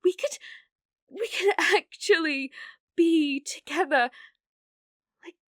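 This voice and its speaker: Woman acting a halting, lovesick British yandere streamer. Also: very high-pitched, a bit bright, no echo, studio quality, voice pushed up, heavily acted. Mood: frantic, pleading. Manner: halting, breathy, pleading, slipping into whispers. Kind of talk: ASMR roleplay monologue.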